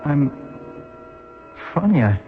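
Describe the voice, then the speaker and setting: A man's voice: on the high side, sing-song, big-room sound, heavily acted. Bewildered man in muffled radio drama. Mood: dazed wonder. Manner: halting, searching, repeats words. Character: bewildered amnesiac man adrift near death. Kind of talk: old-time radio drama acting. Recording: muffled radio drama recording, music behind.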